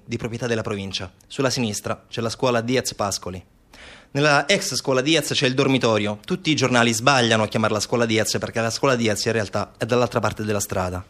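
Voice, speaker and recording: A man's voice: fairly low pitch, a bit bright. A man delivers urgent, insistent theatrical narration in the manner of documentary storytelling. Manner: urgent, insistent, repetitive staccato phrasing. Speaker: stage narrator, actor.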